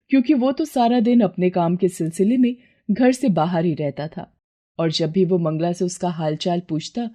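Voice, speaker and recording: A woman narrating a scripted cartoon moral story in a steady, measured way. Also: on the low side.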